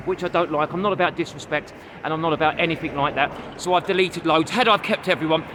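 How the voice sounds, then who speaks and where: A man with a London accent talking casually to his camera while he walks, addressing viewers as guys, with lots of uh fillers and getting a little out of breath. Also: high voice. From London, England. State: walking, gets out of breath. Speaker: vlogger and comedian. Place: outdoors on a busy city street. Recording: street noise and passing vehicles. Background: street traffic.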